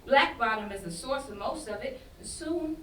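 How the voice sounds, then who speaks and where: A woman playing a soft-hearted, loyal and defensive young woman in a staged play reading, earnest and speaking in vernacular. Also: fairly high pitch, lively intonation, normal speed. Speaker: student actor in a staged reading. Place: indoor festival staged reading. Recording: echoey room, distant mic, faint noise.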